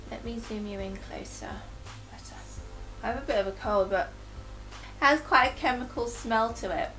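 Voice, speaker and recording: A woman's voice: mid-range voice, fairly bright tone, low-quality recording. A woman reviewing makeup in a casual, chatty way, sounding a little nasal from a cold. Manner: chatty, talks while applying makeup. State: nasal from recovering flu. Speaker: beauty vlogger. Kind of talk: casual product review and demo.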